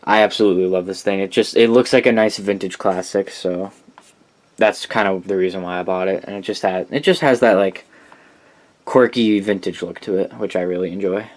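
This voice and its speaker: A man's voice: sits low, a little fast, heavy background noise. A man giving a casual, unscripted show-and-tell as a hobbyist collector, rambling informally with enthusiasm.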